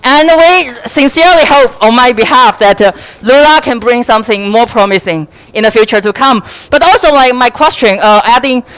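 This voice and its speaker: Casting: woman, smooth clear voice, dry sound, earnest and halting conference questioner. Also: fairly high pitch, a bit bright, no echo, poor audio. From mainland China. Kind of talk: spoken question from the floor.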